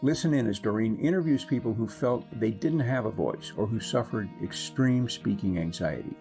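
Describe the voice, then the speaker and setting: Gravelly male announcer over guitar music. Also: fairly low pitch, expressive, moderate pace, dark tone. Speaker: podcast announcer. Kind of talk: scripted intro voice-over.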